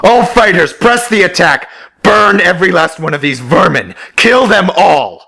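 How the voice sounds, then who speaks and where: A man's voice: high voice, lively intonation, measured pace, vocal fry, sharp tone, heavily acted. A man playing a stern fighter colonel barking orders. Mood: ferocious. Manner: clipped barked orders. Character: stern male squadron colonel commanding fighters. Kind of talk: fan audio drama acting.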